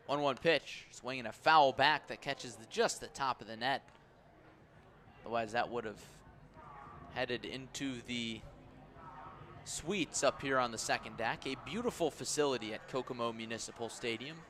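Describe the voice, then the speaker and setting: A man calling baseball play-by-play for radio in a live sports commentary style. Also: background noise. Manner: measured between pitches, raised and urgent on plays. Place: stadium press area on second deck.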